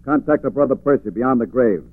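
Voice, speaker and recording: An actor playing a firm, blunt police commissioner in a 1940s radio drama. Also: male voice, mid-range voice, fairly quick, dry recording, lightly acted. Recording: muffled lo-fi 1940s radio recording.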